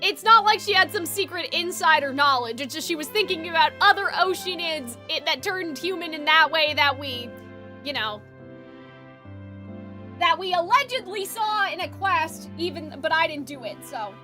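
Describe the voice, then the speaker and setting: Very high, bright-voiced woman streamer. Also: lively intonation, normal speed. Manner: chatty, reactive, rambling. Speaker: game streamer. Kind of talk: casual live gameplay commentary. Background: music.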